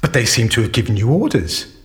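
Audio drama actor: male counsel at a public inquiry, formal and probing, average pitch, measured questioning. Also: big pitch swings, natural voice. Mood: polite, probing.

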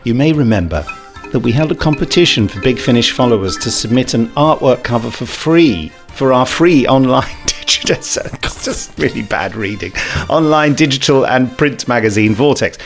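A man's voice: medium pitch, lively intonation, normal speed, soft background music. A man co-hosting a podcast in casual, jokey chat with a bumbling, self-deprecating manner. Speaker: podcast co-host.

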